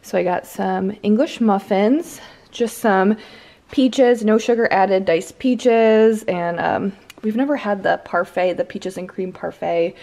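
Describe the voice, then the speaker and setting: A woman's voice: medium pitch, slow, gravelly, dark tone. Casual American woman vlogger chatting. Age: 30s. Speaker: lifestyle vlogger. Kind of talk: casual chat to viewers. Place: inside a house.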